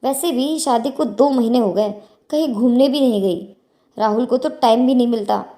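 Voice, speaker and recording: A woman's voice: a little high, normal speed, dark tone, lightly acted. A woman voicing an anxious young daughter-in-law in a moral story. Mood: casual, worried. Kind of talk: dramatized moral story dialogue.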